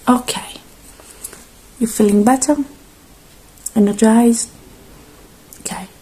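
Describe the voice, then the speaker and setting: A woman speaking softly and gently in a halting, reassuring ASMR roleplay, slipping between quiet voice and whisper. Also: fairly high pitch, fairly even intonation, husky, poor audio. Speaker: ASMR performer playing a robot repair technician.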